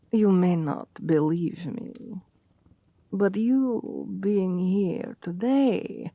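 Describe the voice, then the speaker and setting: Woman doing a haughty seductive vampire queen for asmr, super slow and breathy, low creaky purr, bossy and possessive. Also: dry recording, exaggerated voice. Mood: amused, condescending. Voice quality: breathy, often dropping to whispers. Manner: languid, condescending, commanding purr with soft chuckles. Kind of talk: ASMR roleplay, intimate and unhurried.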